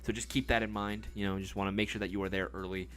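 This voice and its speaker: A man's voice: lowish voice, little pitch movement, fast talker, fairly bright tone. A man hosting a live stream in casual chat, reading viewer comments, rambling with many fillers and casual swearing.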